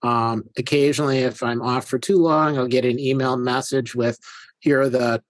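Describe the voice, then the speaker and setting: A man's voice: mid-range voice, fairly even intonation, moderate pace, no room sound. A man speaking as a guest on a casual panel over a video call, explaining at length in a chatty way.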